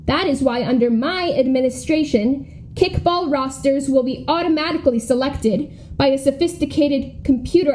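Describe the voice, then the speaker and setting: Schoolgirl presidential candidate, played by a young woman: high voice, pompous speechmaking, radio comedy, faint background noise. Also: dark tone, rough recording. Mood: grandly earnest. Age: young adult. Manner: speechifying, grandiose. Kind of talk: comic radio play acting.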